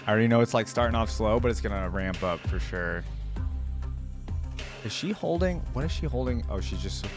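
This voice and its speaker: A man giving casual reaction commentary as a video host, informal and thinking out loud. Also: lowish voice, lively intonation, fairly quick. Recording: music behind the voice. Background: game cinematic and music playback.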